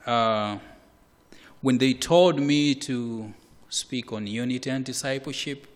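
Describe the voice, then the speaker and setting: Man, preacher, sermon, very slow pace, long hall echo. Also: medium pitch. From Malawi. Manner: slow, measured, with repetitions and occasional soft laughs. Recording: hall reverb.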